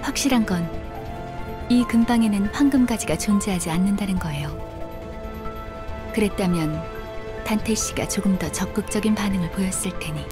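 A woman's voice: medium pitch, natural voice. A woman playing a cool, analytical strategist who speaks formally and matter-of-factly. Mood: detached. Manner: formal, measured, matter-of-fact. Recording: music under voice.

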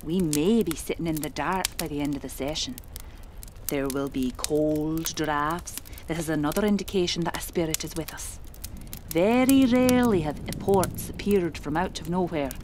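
A woman's voice: low-pitched, normal speed, a little mellow, slight background noise, close to an everyday voice. A woman playing a commanding, mysterious spirit medium of the 1920s in a horror audio drama, with formal, theatrical diction. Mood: solemn, instructive. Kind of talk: dramatic horror podcast acting.

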